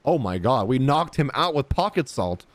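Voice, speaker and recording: A woman's voice: very deep voice, very animated, moderate pace, slight background noise. A woman giving casual, slangy, joking commentary as she streams a video game.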